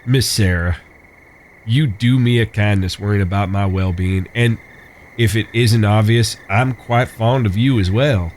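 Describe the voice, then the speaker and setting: A man's voice: on the low side, a little slow, a little mellow, a little hiss or hum, natural voice. A man playing a cocky, chivalrous Old West gunslinger with folksy, courtly phrasing in a western audio drama. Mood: earnest, gentle.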